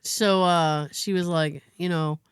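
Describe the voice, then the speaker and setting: A woman's voice: fairly low pitch, slow, smooth, dry recording. American woman telling a story, dry mic. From United States. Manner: animated storyteller, acts out other people's lines. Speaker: podcast host. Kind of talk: casual storytelling chat.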